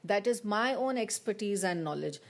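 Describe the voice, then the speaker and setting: A woman on a seminar panel speaks in a measured, reflective way. She moved to Finland from abroad and thinks aloud as she makes her point. Her voice sits at an ordinary middle pitch. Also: expressive. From abroad, living in Finland. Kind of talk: panel discussion remarks.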